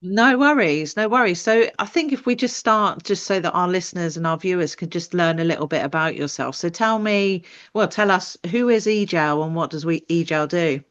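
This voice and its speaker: A woman's voice: fairly low pitch, moderate pace, hardly any pauses, smooth, dull audio. A woman co-hosting a podcast, welcoming and friendly as she introduces the show and its guest. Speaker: podcast co-host.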